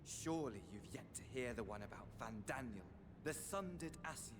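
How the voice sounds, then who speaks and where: An actor playing a theatrical, mocking villain in a video game cutscene, flamboyant and sneering, sometimes dropping to a whisper. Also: male voice, medium pitch, expressive, normal speed, background noise, close to an everyday voice.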